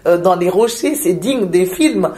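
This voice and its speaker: Slow, hesitant woman from Madagascar. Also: fairly low pitch, lively intonation, dark tone. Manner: slow, searching, with frequent hesitations and repeated words. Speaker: interview guest, shaman. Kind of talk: reflective spiritual interview talk.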